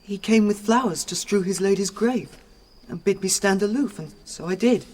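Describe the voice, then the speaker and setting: A man's voice: high-pitched, normal speed, a little mellow. A male performer playing a young, obedient, frightened page boy giving his account in a classical radio drama. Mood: frightened, hurried.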